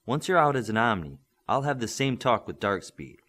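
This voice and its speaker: A man's voice: mid-range voice, very animated, normal speed, fairly bright tone. A man playing a patient, firm superhero mentor in an audiobook, coaching and instructing steadily. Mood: instructive.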